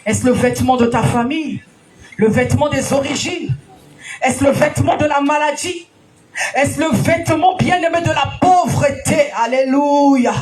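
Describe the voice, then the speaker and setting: A woman preacher delivering a sermon in an emphatic, sing-song manner, rising to shouts and calling out alleluia between questions to the congregation. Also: high-pitched, normal speed, soft background music, poor audio. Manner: frequent alleluias and direct questions to listeners. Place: church gathering.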